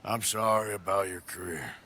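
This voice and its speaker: An actor playing a weary, blunt Old West outlaw with an American accent and a plain-spoken drawl, in a video game. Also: male voice, deep voice, moderate pace, sharp tone, a little hiss or hum. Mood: kindly, brisk. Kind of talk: dramatic video game cutscene acting.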